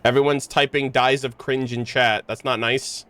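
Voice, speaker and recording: Jokey young woman streamer, medium-low pitch. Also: moderate pace. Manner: excitable, jokey, informal. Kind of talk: casual game livestream commentary. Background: game music.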